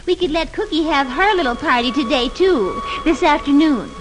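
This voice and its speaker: Comic-strip housewife: extremely high voice, sweet, breezily oblivious, radio funnies delivery. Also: female voice, expressive, normal speed, noisy background. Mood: chirpy. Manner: bright cheery exclamations. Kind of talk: children's radio funnies reading.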